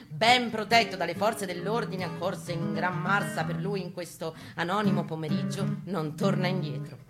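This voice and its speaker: Roman woman's dramatic reading over guitar. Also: fairly low pitch. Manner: intense, colloquial, profane delivery. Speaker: performer reading her piece aloud. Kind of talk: dramatic spoken-word reading. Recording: live guitar under the voice. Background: acoustic guitar music.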